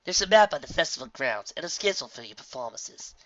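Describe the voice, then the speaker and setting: A man's voice: mid-range voice, big pitch swings, fast talker, fairly bright tone, no room sound, muffled recording, voice pushed up. A man voicing a bustling, folksy middle-aged female pony mayor in a storybook reading, chatty and motherly. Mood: friendly, bustling.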